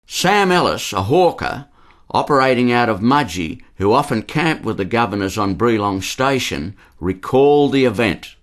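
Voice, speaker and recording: A man's voice: mid-range voice, very animated, moderate pace. A man narrating a scripted historical documentary radio play.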